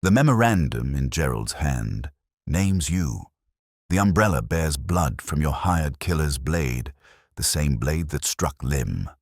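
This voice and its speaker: A man's voice: extremely low pitch, lively intonation, slow pace, a little mellow, dry recording, clean studio sound, full character voice. A man voicing an imperious, shrewd detective in an audiobook, with formal, clipped, commanding pronouncements. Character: imperious, shrewd male detective, coldly judging.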